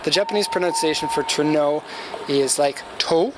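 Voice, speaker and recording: A man's voice: on the high side, moderate pace. A man giving casual, off-the-cuff vlog commentary as a host, rambling along in an informative, opinionated way. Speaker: host of car auction walkaround video. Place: outdoors at a car auction lot. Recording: loud outdoor noise with vehicle sounds.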